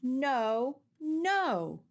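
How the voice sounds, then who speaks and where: Woman as stubborn sleepy small girl, storybook character, childlike, insistent short answers, lively pitch swings. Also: drawn-out words, full character voice. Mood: refusing, upset.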